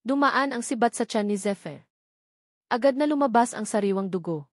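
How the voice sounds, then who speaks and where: A woman's voice: fairly high pitch, animated, normal speed, no echo. Woman narrator reading a story, dry sound. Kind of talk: scripted audiobook narration of an action novel.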